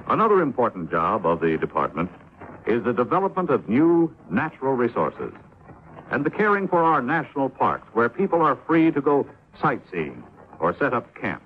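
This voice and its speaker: An American man announces in scripted fifties radio style. His mid-pitched voice moves up and down with lively intonation. The old broadcast is a bit dull, with faint noise. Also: moderate pace. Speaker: announcer of a public information talk. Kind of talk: scripted 1950s radio narration.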